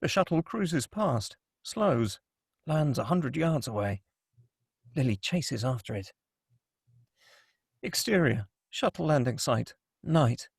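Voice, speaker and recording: A man's voice: mid-range voice, sing-song, slow, vocal fry, long pauses, breathy edge, no echo, clean studio sound. A man reading stage directions as dramatic scripted narration at a table read.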